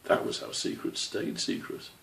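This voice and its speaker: A man's voice: moderate pace, fairly bright tone. A man reading a children's story aloud as its narrator. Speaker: author reading own story. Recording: roomy, slightly distant microphone.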